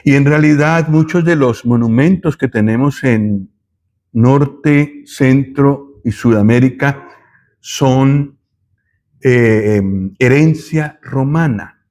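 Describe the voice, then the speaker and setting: A man from Colombia, giving a thoughtful Bible teaching with pauses and self-corrections. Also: medium pitch, warm tone.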